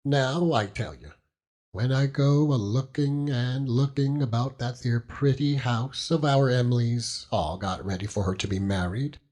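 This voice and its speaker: A man's voice: mid-range voice, a little slow, close to an everyday voice. A man voicing a doting, simple old fisherman with a rural English dialect in an audiobook. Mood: doting, tender. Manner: rambling, folksy, chuckling warmly. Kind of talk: audiobook character acting.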